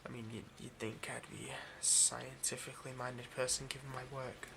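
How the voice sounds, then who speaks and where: A man's voice: mid-range voice, little pitch movement, moderate pace, vocal fry, noisy background. A man playing a nervous, obsessive vampire lab technician in an intimate ASMR roleplay, with hesitant, stammering, overly polite delivery. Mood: secretive, earnest.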